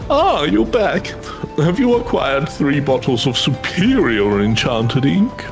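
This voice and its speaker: A man's voice: fairly high pitch, very animated, takes it slowly, over music, exaggerated voice. Man putting on a swooping, grandiose fantasy scholar. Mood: pompous, pleased. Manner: formal, theatrical, archaic phrasing.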